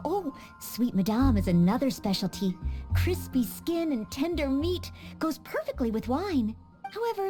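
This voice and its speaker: Rich: An earnest young maid knight with a high, lively voice that rises and falls freely. She speaks courteously and formally, eager to serve, over soft game music.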